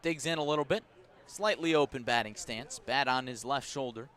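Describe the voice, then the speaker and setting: A man doing live radio baseball play-by-play, describing each pitch in detail and filling in with player stories. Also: moderate pace, clear, resonant tone. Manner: descriptive, storytelling between pitches, rising to excited calls on big plays.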